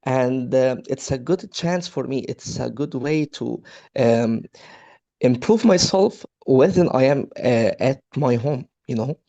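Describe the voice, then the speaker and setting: A man from Egypt with an Egyptian accent and Arabic as his first language, earnestly practising spoken English in an online class, pausing for words. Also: medium pitch, lively intonation, gravelly, mellow. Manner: earnest, searching for words with fillers. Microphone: video call. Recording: lo-fi video call audio.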